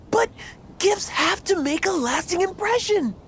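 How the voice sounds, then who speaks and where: Young male superfan, high voice, very animated pitch, gushing, pompous, stammering, video game. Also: slow, gravelly, full character voice. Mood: flustered, deflated. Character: excitable, lovestruck young male superfan, self-important. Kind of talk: video game character acting. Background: background music.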